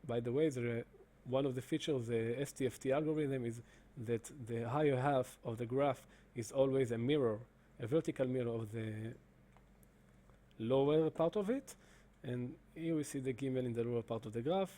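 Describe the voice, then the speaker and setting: Halting man with a mellow voice. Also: medium pitch. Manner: halting, many fillers and restarts. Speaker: presenter demonstrating software. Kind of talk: screen-recorded explanation.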